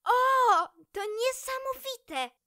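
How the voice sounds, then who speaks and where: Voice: very high voice, lively intonation, extremely slow, pushed and bright, no echo, studio quality, full character voice. A young performer voicing a small, wary, proud female red squirrel, pert and childlike, in a children's story. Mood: impressed, proud.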